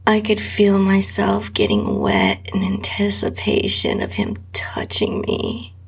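A woman narrating intimate erotic fiction in a sultry, lingering, breathy voice that often drops to a whisper. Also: mid-range voice, big pitch swings, slow, faint music behind. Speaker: podcast host reading own story. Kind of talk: slow, intimate erotica narration in the first person.

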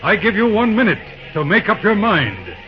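An actor playing a calm, wily small-town judge with courtly, deliberate politeness and a slightly stumbling delivery in an old radio western. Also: male voice, high voice. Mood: stern. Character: folksy, wily, calm judge, a railroad town's peacemaker. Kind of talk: old-time radio western drama acting. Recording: muffled old radio show recording.

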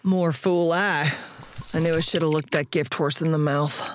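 A woman's voice: low-pitched, steady tone, normal speed, slight background noise, natural voice. A woman playing a world-weary, plain-spoken radio tinkerer with a folksy frontier manner in an audio drama. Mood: weary, defeated.

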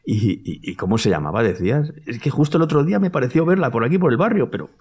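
An actor playing a nervous, eager dinner host in audio fiction, stammering and repeating himself. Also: male voice, mid-range voice, very animated, fast, darkish tone, husky. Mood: surprised, flustered. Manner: stammering, repeating words, flustered. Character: nervous, eager, evasive male dinner host among friends. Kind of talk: live-recorded audio fiction acting.

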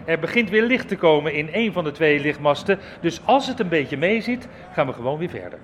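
A man playing an excitable football reporter giving a live radio report. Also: a little high, a little fast, a little hiss or hum. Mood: keyed up.

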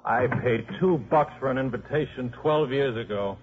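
A man's voice: mid-range voice, normal speed, lightly acted. An actor playing a cynical, combative American football coach and father, slangy and sardonic, in a 1950s radio drama. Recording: dull, noisy 1950s radio recording.

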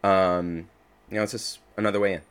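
A man speaking as an interview guest in a casual chat, informal and halting as he works out his thoughts. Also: low-pitched, fairly even intonation, smooth. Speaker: podcast creator, interview guest.

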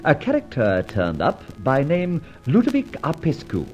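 Very animated adventurer-narrator, dull old recording. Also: male voice, on the high side, normal speed. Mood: jaunty. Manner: raconteur, dramatic, breezy. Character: jaunty gentleman adventurer recounting his exploits. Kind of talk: first-person radio drama narration. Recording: dull vintage radio recording, music underneath. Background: music.

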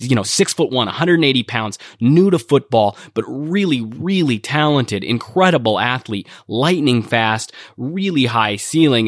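Energetic male podcast host with a cold. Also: mid-range voice, dry recording, studio quality. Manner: energetic, emphatic, repeats phrases for stress. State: has a cold, nasal. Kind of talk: recruiting news podcast monologue.

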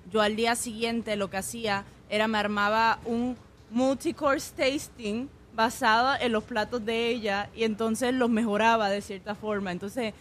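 A young woman, a chef from Puerto Rico with an accent mixed between Puerto Rican and Mexican Spanish, telling stories in a relaxed way with frequent fillers in a radio interview. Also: on the high side, normal speed, a little hiss or hum. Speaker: guest chef.

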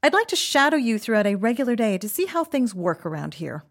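An officious woman inspector in a comic fantasy audio drama. Her intonation is very lively. She sounds prim and upbeat, every sentence framed with bureaucratic politeness. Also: a little high, brisk. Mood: bright, reassuring.

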